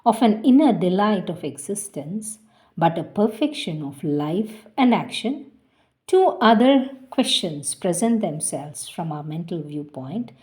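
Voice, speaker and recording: A woman reads a spiritual text aloud at a very slow, unhurried pace. Her voice is mellow and fairly low. She is measured and reverent, and her phrases fade softly at their ends. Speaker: devotional reader. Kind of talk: slow devotional reading.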